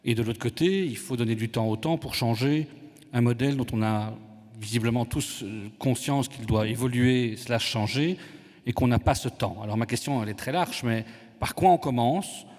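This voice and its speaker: A man's voice: medium pitch, moderate pace, a little mellow. Italian-native man moderating in a reverberant hall. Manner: reflective, searching for words. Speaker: debate moderator. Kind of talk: moderating a panel debate. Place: conference hall. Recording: long reverb of a conference hall.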